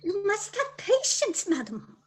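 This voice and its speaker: A woman's voice: extremely high pitch, big pitch swings, a little slow, soft background music, full character voice. A woman playing an earnest, cautious, consoling noble cousin in an amateur Shakespearean radio play. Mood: consoling, worried.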